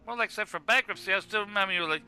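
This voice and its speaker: A man's voice: high-pitched, rapid-fire, bright, forceful tone, clean tone, faint music behind. A man playing an exasperated, mocking wrestler in a scripted web cartoon, scolding with emphatic sarcasm. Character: exasperated, mocking male wrestler, the self-styled straight man. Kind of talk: scripted comic argument in web animation.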